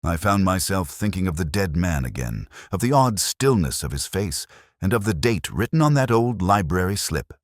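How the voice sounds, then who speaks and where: A very low, dry-recorded voice of a man acting as a brooding police inspector. He narrates a detective story in measured, reflective phrases. The delivery is literary and watchful, like a scripted audio drama. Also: sing-song, dry recording. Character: brooding, observant English male police inspector narrating his case.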